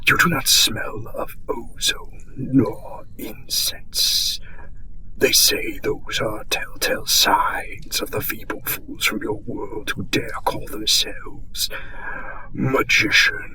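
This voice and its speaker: A man playing an eldritch masked auditor of an underground city, a calm, possessive captor who whispers breathily in formal, archaic words, in a fantasy audio roleplay. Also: extremely high pitch, exaggerated voice, rough recording. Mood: probing, commanding. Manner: formal, archaic wording, slow and soothing.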